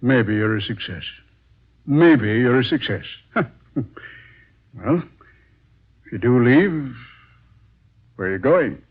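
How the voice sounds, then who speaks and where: An actor playing a blunt, blustering publishing boss in a vintage radio drama, folksy and exasperated, with slangy exclamations. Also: male voice, mid-range voice, lively intonation, slow pace, pauses often, breathy edge, full character voice. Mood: incredulous. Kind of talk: 1940s radio drama acting. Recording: dull, muffled vintage radio recording.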